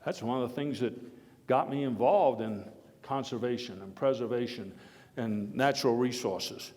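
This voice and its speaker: An actor playing a jovial, hearty former American president, giving a folksy speech in a halting, deliberate way. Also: male voice, mid-range voice, a little mellow, close to an everyday voice. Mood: earnest. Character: Theodore Roosevelt, jovial, hearty former president telling anecdotes. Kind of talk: live historical dramatization speech to an audience. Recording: echoey hall with long reverb.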